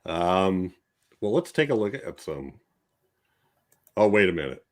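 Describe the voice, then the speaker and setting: A man's voice: low-pitched, very animated, a little slow. A man hosting a casual podcast chat, easygoing and leading the segments. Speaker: podcast host.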